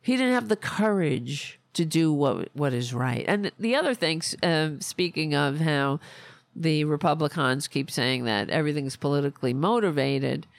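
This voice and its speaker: A woman hosting a political podcast, hoarse from losing her voice, ranting in a rambling way and losing her train of thought. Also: sits low, animated, moderate pace, no room sound. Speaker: podcast host. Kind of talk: casual political rant.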